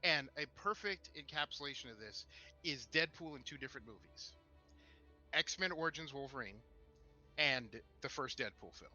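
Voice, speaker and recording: Very bright, high-voiced emphatic man. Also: expressive, moderate pace, lo-fi sound, faint music behind. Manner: emphatic, stammering repeats as he builds a point. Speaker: show co-host. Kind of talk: animated casual opinion and discussion.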